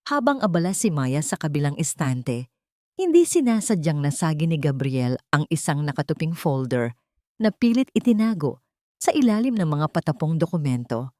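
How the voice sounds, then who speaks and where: Woman, lowish pitch, dramatic scripted storytelling, dry recording without room sound. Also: sing-song, moderate pace, darkish tone, no room sound.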